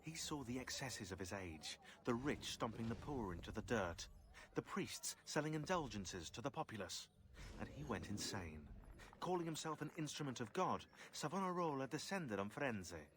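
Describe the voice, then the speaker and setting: An actor playing a sardonic historian, delivering wry, dramatic scripted narration in a video game. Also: male voice, medium pitch, big pitch swings, normal speed, natural voice.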